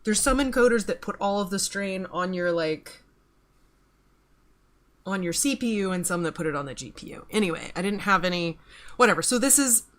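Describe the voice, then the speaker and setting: A woman's voice: mid-range voice, lively intonation, normal speed, fairly bright tone. A woman in her thirties chatting casually on a stream while she works, rambling and swearing offhandedly as she reads out the chat. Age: 30s.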